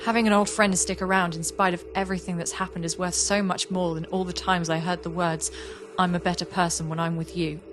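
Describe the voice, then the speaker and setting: A woman's voice: on the low side, level tone, brisk, few pauses, noisy background, lightly acted. A woman playing a reflective young artist in an audio play, speaking in an earnest, confessional way. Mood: heartfelt, resolute. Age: young adult. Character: young woman artist, reflective, warm, wistful about an old friend. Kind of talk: audio play monologue and dialogue.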